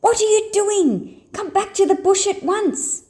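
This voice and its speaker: A woman's voice: extremely high pitch, a little slow, dark tone, pitched above the performer's own voice. A woman voicing a scornful young boy kangaroo, a bossy little brother, scolding emphatically in a children's storybook. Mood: urgent scolding. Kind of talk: children's storybook read-aloud.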